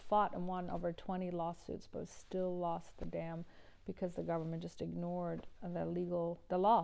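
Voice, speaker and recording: A middle-aged woman from Iran speaks as an interview guest, her voice low, gravelly and dark in tone. She talks earnestly and evenly, often pausing on fillers and restarting her sentences. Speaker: environmental activist guest. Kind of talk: interview answers.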